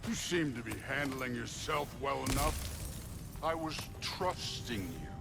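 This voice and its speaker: A boastful warrior god from a video game. He talks slowly and swaggers, with big swings in pitch and a sardonic, mocking edge. Loud music plays over him. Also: lively intonation, creaky. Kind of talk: video game character acting.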